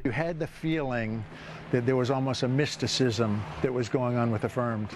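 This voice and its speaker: A man's voice: medium pitch, fairly even intonation, moderate pace, noisy background. A man speaking as an interviewee, recalling memories reflectively and sometimes searching for his words.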